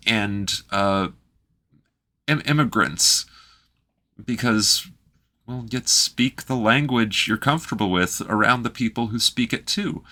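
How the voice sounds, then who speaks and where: Canadian man explaining, bright voice. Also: lowish voice. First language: English. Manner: measured, explanatory, chatty. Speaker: host reacting to a video. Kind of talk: casual reaction commentary.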